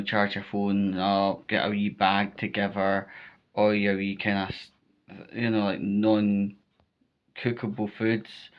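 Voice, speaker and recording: A man's voice: fairly low pitch, clean tone, dull audio. A Scottish man giving informal, emphatic advice to viewers, repeating his points and often checking in with "right?". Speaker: vlogger giving storm safety advice.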